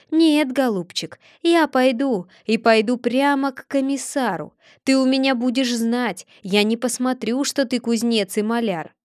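A woman's voice: sits high, no echo, studio quality, voice pushed up. A woman voicing a sly, stubborn middle-aged Cossack man who mutters indignantly to himself.

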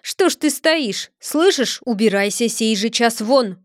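A woman's voice: a little high, animated, a little slow, no room sound, studio quality. Woman doing a hot-tempered blacksmith. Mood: angry. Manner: shouting gruffly. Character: stern burly village blacksmith, hot-tempered man.

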